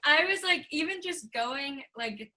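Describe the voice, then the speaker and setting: A woman's voice: high voice, animated, a little slow, pushed and bright. A woman guest on a podcast, talking casually with lots of fillers. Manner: chatty, many fillers. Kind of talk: casual chat.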